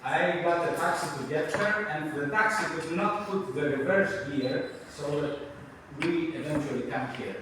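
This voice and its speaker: A middle-aged man with a Greek accent giving an unscripted university conference talk, halting with many fillers and restarts and a dry self-deprecating humor. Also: on the high side, background noise. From Greece. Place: large university lecture hall. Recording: echoey lecture hall recorded from far off.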